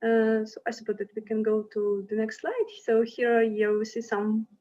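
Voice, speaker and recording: A woman's voice: fairly high pitch, steady tone, moderate pace, warm tone. Hesitant woman presenting over a muffled call. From Ukraine. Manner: hesitant, many fillers and restarts. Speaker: university representative presenting to applicants. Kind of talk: online presentation with slides.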